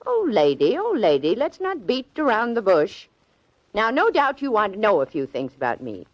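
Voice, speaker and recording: An actress playing an eccentric, plucky older woman in a 1940s American radio drama, brisk, prim and forthright. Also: medium pitch, huge pitch swings, dry recording. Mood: brisk, testy. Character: brisk, no-nonsense old spinster lady, eccentric and plucky. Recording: dull, band-limited vintage radio recording.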